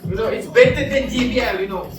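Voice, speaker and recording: A man with a Malaysian accent giving a casual, unscripted product review in an informal, halting and repetitive way with slangy interjections. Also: very high-pitched. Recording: echoey room, distant mic, background music.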